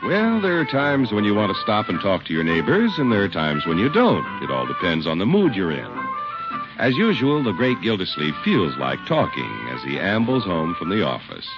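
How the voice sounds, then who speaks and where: A man's voice: low voice, wildly swooping pitch, moderate pace, over music. A man announcing on 1950s radio, reading scripted lines in a polished, emphatic way. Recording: dull vintage radio recording.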